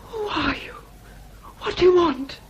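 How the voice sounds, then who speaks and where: A high, frightened young gentlewoman. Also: animated, measured pace, lo-fi sound, slight background noise. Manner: earnest, pleading.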